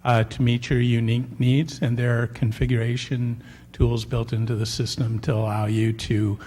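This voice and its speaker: A man with a big voice, a software vendor answering council questions in measured explanations. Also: medium pitch, little pitch movement, big-room sound. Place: city council chamber.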